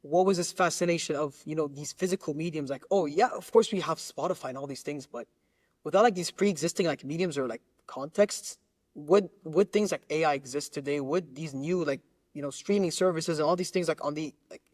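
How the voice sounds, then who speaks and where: A man from Lebanon who grew up in Qatar, talking casually as a podcast guest in a halting, rambling way, repeating words as he searches for phrasing. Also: a little high, no echo. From Lebanon, raised in Qatar. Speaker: musician interview guest.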